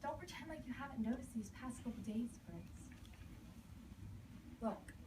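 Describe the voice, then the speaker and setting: A woman's voice: high-pitched, lightly acted. A woman performing a stage monologue as a bitter, wounded, defiant wife preparing to flee. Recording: roomy, distant mic, faint noise.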